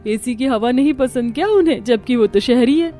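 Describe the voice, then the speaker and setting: A woman playing a demanding, chatty visiting sister-in-law in an animated family story. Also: on the high side, normal speed, close to an everyday voice. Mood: gossipy. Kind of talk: Hindi animated family-drama story acting.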